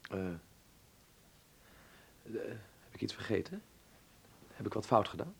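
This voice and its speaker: An actor playing an earnest, eager young Dutchman in a radio drama, expressive and a little hesitant. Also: fairly low pitch, fairly quick, creaky, a little hiss or hum. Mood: uneasy.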